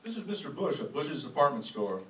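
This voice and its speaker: A man playing a brisk, courteous department store owner in a radio comedy. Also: fairly high pitch, big pitch swings. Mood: eager, friendly. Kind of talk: old-time radio comedy acting. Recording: echoey room, distant mic, faint noise.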